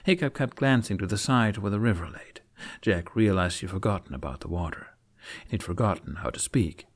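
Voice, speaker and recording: A man reading a scripted fantasy story aloud in an expressive audiobook style. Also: on the low side, moderate pace. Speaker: fan fiction narrator.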